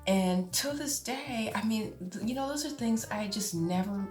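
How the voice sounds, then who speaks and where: A woman in her late forties talking casually to camera as a vlogger, chatty and often laughing, with drawn-out exclamations. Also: on the high side, animated, a little mellow. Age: 40s. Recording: echoey room with music behind.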